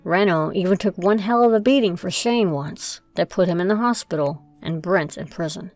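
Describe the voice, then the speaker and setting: A woman's voice: lowish voice, normal speed, darkish tone, dry recording, faint music behind. A woman narrating a scripted audio drama as its storyteller.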